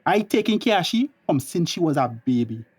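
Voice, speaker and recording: A man's voice: on the high side, very animated, a little fast, husky. A man playing a combative, taunting middle-aged uncle with a Tobagonian accent in a radio drama, speaking in confrontational dialect. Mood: mocking. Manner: mocking, confrontational dialect speech. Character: combative, taunting family uncle guarding a secret.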